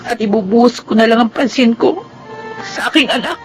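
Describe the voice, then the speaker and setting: A woman's voice: on the high side, normal speed, over music, lightly acted. An actress playing a remorseful, earnest young single mother in a radio drama, with a Filipino accent. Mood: sad, resolute. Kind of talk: radio drama acting and narration.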